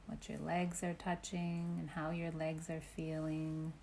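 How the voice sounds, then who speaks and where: A woman leads a guided meditation in a low voice. She speaks very slowly and gently, leaving long pauses between instructions. Ambient noise is clearly present behind her. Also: completely flat delivery. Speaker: meditation teacher.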